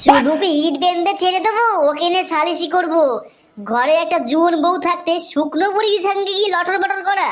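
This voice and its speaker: A woman's voice: very high-pitched, fairly quick. A woman voicing a furious grandmother in a comic cartoon, scolding her cheating husband with crude threats. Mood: outraged.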